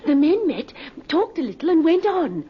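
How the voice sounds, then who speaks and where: Prim, insistent busybody, extremely high swooping voice, vintage radio drama. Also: female voice, sing-song, moderate pace. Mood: excited, certain. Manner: insistent, emphatic. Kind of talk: old-time radio acting. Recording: muffled old radio recording.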